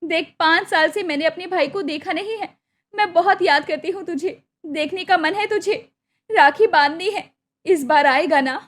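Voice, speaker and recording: A woman's voice: very high voice, moderate pace, fairly bright tone. A woman voicing a hopeful, devoted young village sister in a cartoon story, earnest and pleading. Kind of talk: Hindi cartoon moral story acting.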